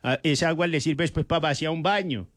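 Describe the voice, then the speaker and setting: A man's voice: a little high, a little fast, dry recording, clean studio sound. A man with a Paisa Colombian accent co-hosting a radio show with slangy, folksy commentary. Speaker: radio co-host. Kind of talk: casual chat commentary. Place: radio studio.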